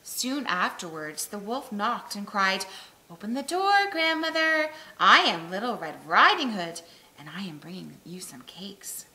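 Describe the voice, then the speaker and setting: A woman narrates a storybook tale with lively, swinging intonation. Her voice is bright and forceful, at a medium pitch. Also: measured pace, low-quality recording.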